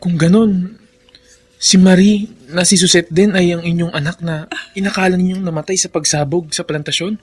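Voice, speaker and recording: An actor playing an earnest, respectful confidant in a Filipino radio drama, with a solemn dramatic delivery. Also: male voice, high-pitched, steady tone, normal speed, a little mellow, no echo. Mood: amazed.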